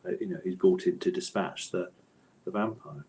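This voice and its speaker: Low-voiced man, thoughtful and digressive. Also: fairly even intonation, moderate pace, mellow, low-quality recording. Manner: hesitant, digressive, thoughtful phrasing with repeated tag questions. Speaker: interview guest, author. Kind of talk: casual literary interview chat.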